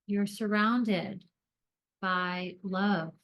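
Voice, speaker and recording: A woman leading a guided meditation, speaking gently in deliberate short phrases with long pauses between them. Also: mid-range voice, fairly even intonation.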